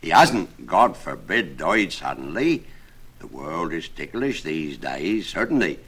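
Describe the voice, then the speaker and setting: Man acting a loud, fretful, credulous carpenter. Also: rumbling low, big pitch swings, slow, breathy edge, exaggerated voice. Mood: worried, sententious. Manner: exclamatory, oath-swearing, loud. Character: pious, credulous working-class carpenter, alarmed and fretful. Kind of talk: dramatised verse storytelling.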